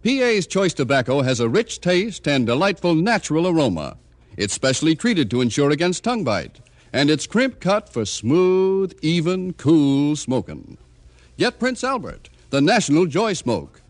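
A man's voice: on the high side, very animated, moderate pace, no echo. A man with an American accent announcing and reading ads in the style of 1950s radio. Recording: old radio broadcast transcription. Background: prominent music.

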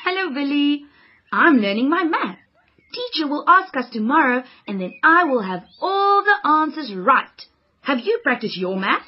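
A woman's voice: high-pitched, big pitch swings, normal speed, lo-fi sound. A woman voicing an earnest, studious young girl who loves homework and avoids exercise. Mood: prim.

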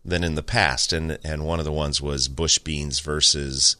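A man's voice: a bass voice, fairly even intonation, sharp tone, dry recording, clean studio sound. A man hosting a garden talk show, joking and teasing his co-host in casual chat about his nursery. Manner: joking, teasing, quick asides.

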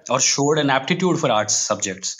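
A man with an Indian accent speaks in a mid-pitched voice as an interview guest. He is reflective and opinionated, building his points one after another in a conversational way. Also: expressive, normal speed, a bit bright. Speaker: guest, comic book writer.

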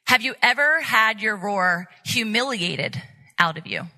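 A woman's voice: fairly high pitch, expressive, measured pace, sharp tone, low-quality recording. A woman conference speaker giving an earnest, exhorting faith talk, repeating phrases for emphasis. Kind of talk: motivational faith talk to an audience.

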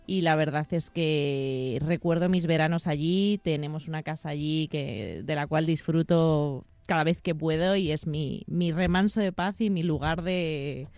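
A woman from Madrid, a personal trainer and former elite athlete, speaking as a podcast guest and explaining eagerly in quick bursts. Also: low voice, a little mellow, no echo. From Madrid, Spain. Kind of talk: casual interview.

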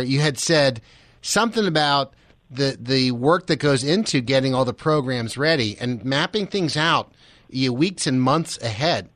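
A man's voice: mid-range voice, fairly bright tone, no room sound. A man hosting a casual radio interview in a chatty, conversational way, speaking close to a studio microphone.

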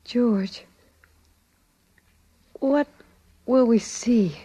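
An actress playing a dazed, anxious wife in a 1940s radio drama, slow and questioning, on a vintage recording. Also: female voice, on the high side, warm tone, slightly rough, no echo, exaggerated voice. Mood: fearful. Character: dazed, anxious young-sounding wife after a truck accident.

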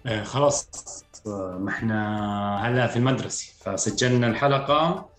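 A man in his thirties with a rural Palestinian accent, talking frankly as a podcast guest, reflective and quick to laugh. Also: lowish voice, a bit bright. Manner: candid, reflective, laughs easily. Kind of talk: casual podcast conversation. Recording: echoey room with faint music.

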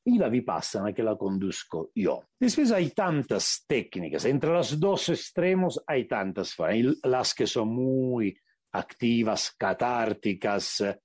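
A man with an Italian accent, a native Italian speaker, giving a radio interview as a meditation teacher and often pausing to search for the right word. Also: medium pitch, big pitch swings, a little mellow, husky, dry recording, clean studio sound. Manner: searching for words, slowing to stress points. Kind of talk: reflective interview answers.

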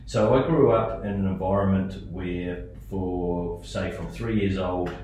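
A man's voice: deep voice, steady tone, unhurried, darkish tone. A New Zealand man in his forties with a New Zealand accent, guest on a podcast interview, talking casually with lots of fillers and restarts. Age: 40s. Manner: hesitant, many fillers and restarts. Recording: echoey room, distant mic, faint noise.

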